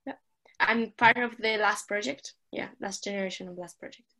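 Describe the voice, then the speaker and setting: A young woman from Italy, a student, introducing herself softly and hesitantly on a video call. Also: medium pitch, moderate pace. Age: young adult.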